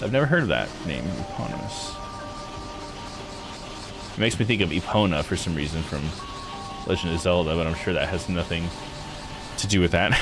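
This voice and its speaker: Low-pitched man with a lively delivery. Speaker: host of a visual novel live playthrough. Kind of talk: casual live commentary mixed with reading game text aloud. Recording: music behind the voice.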